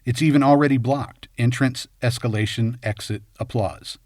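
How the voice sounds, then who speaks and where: A man narrating a scripted film analysis in measured, short punchy phrases. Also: medium pitch, darkish tone, soft background music. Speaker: video essay host and narrator.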